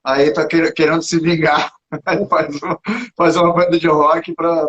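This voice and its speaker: A Brazilian man hosting a casual live chat, rambling and self-mocking, laughing often, a little hoarse. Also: fairly high pitch, level tone, normal speed, a bit bright. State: slightly hoarse. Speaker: podcast host. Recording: dull lo-fi sound.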